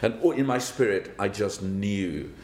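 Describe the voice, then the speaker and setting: An older man from South Africa, a banker who tells his life story in an interview, speaking in a halting, thoughtful way and chuckling now and then. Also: a little mellow. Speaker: guest, banker. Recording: roomy with faint rumble.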